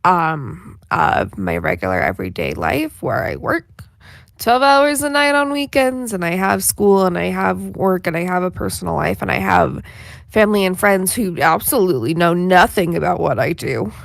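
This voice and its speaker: A woman's voice: fairly low pitch, expressive, normal speed, vocal fry, no echo, clean studio sound. A young adult woman chatting casually on a panel, candid and hesitant as she thinks aloud. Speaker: voice actor and podcast panelist. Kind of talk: casual, reflective panel chat.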